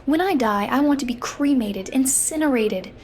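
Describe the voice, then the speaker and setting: A woman's voice: high-pitched, expressive, normal speed, a little mellow, a little hiss or hum. A woman voicing a self-conscious, bookish fifteen-year-old girl, narrating in a wry, introspective way for a comic dub. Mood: wry, morbid. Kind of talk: scripted first-person narration for a comic dub.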